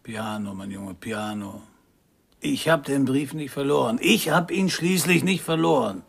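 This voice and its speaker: An actor in a radio play playing a proud, boozy, ageing male jazz trumpeter with a gravelly, husky voice. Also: medium pitch, expressive, measured pace, exaggerated voice. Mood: wry. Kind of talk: German radio play acting.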